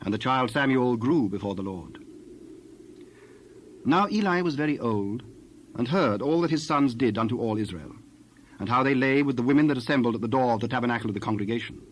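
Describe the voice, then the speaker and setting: Male narrator, medium pitch, formal measured scripture reading, faint background ambience. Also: expressive, a little hiss or hum, rough recording.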